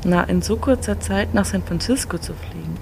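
A tired but polite female traveller, played by an actress in a radio play. Her voice has noticeable fry and an everyday conversational tone, with clear noise around her. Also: on the low side, moderate pace. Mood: weary. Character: tired, polite woman traveller, friendly and sensible. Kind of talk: audio drama acting, conversational. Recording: clear ambient noise behind the voice.

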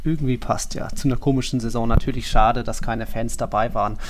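A man's voice: medium pitch, fairly even intonation, fast talker, a little hiss or hum. A man co-hosting a football podcast, talking in a dry, matter-of-fact and analytical way. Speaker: podcast co-host. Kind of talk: casual football podcast chat.

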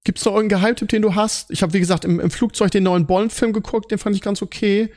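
A man with a fairly high voice hosts a casual podcast. He talks in a conversational way, often doubling back on words while he shapes a thought. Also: fairly even intonation, fast, darkish tone. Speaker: podcast host. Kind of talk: casual podcast chat about series and films.

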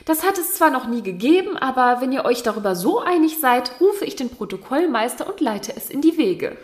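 A woman's voice: high voice, very animated, brisk. An actress playing a formal, ceremonious court lady in a fairy tale audio drama. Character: courtly lady, formal, proper, easily moved.

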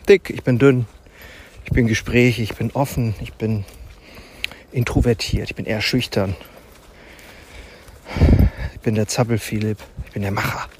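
A man talks through his own podcast while walking outdoors in a forest, with the quiet ambience of the woods faintly audible behind him. His voice sits at an ordinary middle pitch. He muses aloud in an unhurried way, pausing to think and filling the gaps as he goes. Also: slight background noise. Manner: musing and unhurried, with thinking pauses and fillers. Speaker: podcast host. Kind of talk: reflective solo podcast monologue. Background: faint forest ambience and animal sounds.

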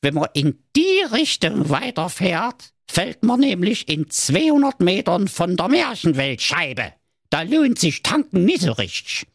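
A grumpy, sly evil dwarf. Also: male voice, sits high, wildly swooping pitch, measured pace, creaky, no room sound, studio quality, voice pushed up, exaggerated voice. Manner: grumbling and crafty.

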